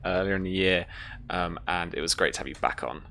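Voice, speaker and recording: A woman's voice: extremely low pitch, quick pace, a bit bright. A woman hosting a casual stream wrap-up as game master, thanking people often. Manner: appreciative, thanks others often. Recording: clear background noise and ambience.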